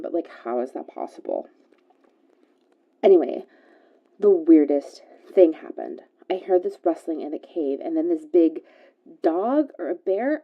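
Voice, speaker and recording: Radio caller, played by an actress: chatty guileless young girl, rambling casual storytelling, notably low voice, muffled lo-fi line. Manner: rambling, filler-heavy youthful talk.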